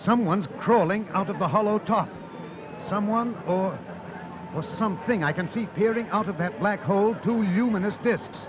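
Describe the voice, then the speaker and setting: Male actor as frightened radio newsman, high urgent delivery, old-time eyewitness style, dull noisy recording. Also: normal speed, natural voice. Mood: horrified. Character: terrified on-the-spot radio reporter witnessing an invasion. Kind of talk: 1930s radio eyewitness commentary acting. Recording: muffled old broadcast, outdoor noise.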